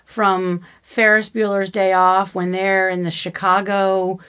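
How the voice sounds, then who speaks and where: A woman's voice: medium pitch, flat delivery, slow, fairly bright tone, clear, resonant tone. A woman hosting a podcast, asking curious follow-up questions of her guest. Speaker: podcast host. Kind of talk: interview questions.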